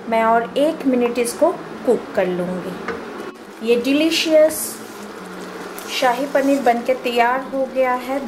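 High-voiced Indian woman, unhurried cooking host. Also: background noise. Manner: simple, instructional, switches between Hindi and English. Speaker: home cook and channel host. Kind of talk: step-by-step cooking tutorial narration.

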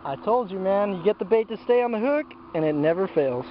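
A man talking casually by a lake while filming, cheering and laughing as fish come in. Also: high-pitched. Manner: excited, laughing, cheering on the catch. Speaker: fishing vlogger filming. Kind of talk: casual outdoor commentary. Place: lakeside outdoors. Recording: muffled, loud outdoor noise.

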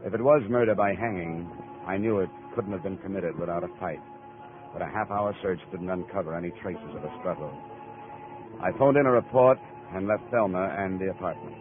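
An American man playing a cool, probing insurance investigator in clipped, deadpan 1950s radio acting. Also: low voice, fairly quick, over music, lightly acted. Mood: cool narration. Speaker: lead radio actor, narrator. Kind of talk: 1950s radio detective acting, first-person narration. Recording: muffled old radio recording, tinny.

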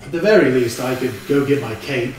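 A man's voice: medium pitch, brisk. A man talking casually as a player in a tabletop role-playing game discussion. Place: living room group session. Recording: very echoey room, distant mic, faint noise.

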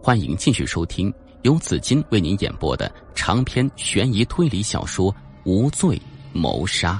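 A man's voice: deep voice, very animated, measured pace, darkish tone, soft background music. A man narrating a suspense novel in a scripted, dramatic audiobook style.

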